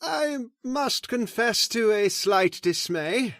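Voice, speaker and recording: A man's voice: high-pitched, lively intonation, slow pace, fairly bright tone, no room sound, clean studio sound, heavily acted. A man playing a genteel village reverend, formal, polite and slightly hesitant, in an old-time radio drama style. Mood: mildly puzzled. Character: courteous, genteel English village reverend, mildly dismayed.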